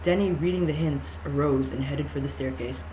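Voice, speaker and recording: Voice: medium pitch, a little fast, mellow. An amateur reader giving a mock-dramatic novel reading, breaking off into sarcastic, incredulous, sweary asides. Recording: echoey room with faint noise.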